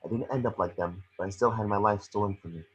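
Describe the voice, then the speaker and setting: A man reading the part of a gentle, remorseful witch ghost mentor in an amateur table read, earnest and measured. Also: fairly low pitch, slightly rough, dull audio. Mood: wistful.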